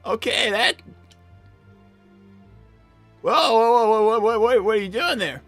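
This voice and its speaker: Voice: medium pitch, lively intonation, unhurried, a bit bright, no echo, faint music behind. A performer voicing a flustered young boy fox cartoon character, stammering and repeating words while hosting a game livestream. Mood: flustered, puzzled. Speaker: streamer hosting a live show.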